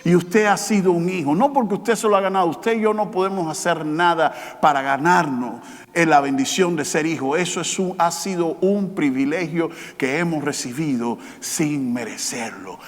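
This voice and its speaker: A man preaching a sermon as pastor, with emphatic repetition, rising to loud passages and dropping to quiet, slow ones. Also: on the high side. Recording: long hall reverb.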